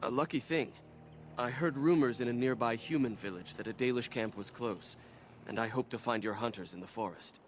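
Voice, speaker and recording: An actor playing a humble, earnest young city elf in conversational video game dialogue. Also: male voice, mid-range voice, fairly quick, slight background noise, close to an everyday voice. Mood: curious, friendly. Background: game music.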